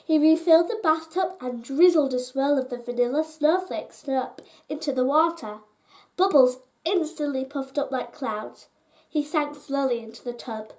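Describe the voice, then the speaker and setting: A woman reading a children's bedtime story aloud in an expressive, deliberate way, as a storyteller. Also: sits high.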